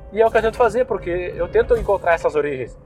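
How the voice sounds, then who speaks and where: A young man with a southern Brazilian Gaúcho accent who rambles loosely as a podcast host, full of slang and fillers. His voice sits a bit high. He talks from inside his car while faint music plays somewhere behind him. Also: lively intonation, fast talker, darkish tone. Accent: Gaúcho Brazilian Portuguese. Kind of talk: casual rambling monologue.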